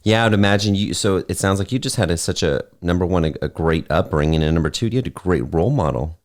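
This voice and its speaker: A man with a low, deep voice hosts a business and personal-growth podcast. He asks his guest questions in a friendly, measured way, often pausing on uh. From California. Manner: friendly, measured, frequent uhs. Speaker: podcast host. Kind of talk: interview hosting.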